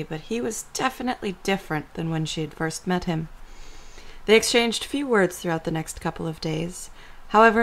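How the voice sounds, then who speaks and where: Woman reading story narration for an audio drama, creaky voice, middle pitch. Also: poor audio.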